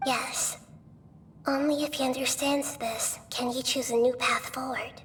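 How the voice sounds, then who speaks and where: Game role: young girl deity, high voice, gentle, grave, composed, measured storyteller. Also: fairly even intonation, faint music behind. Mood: calm. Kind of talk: video game voice acting.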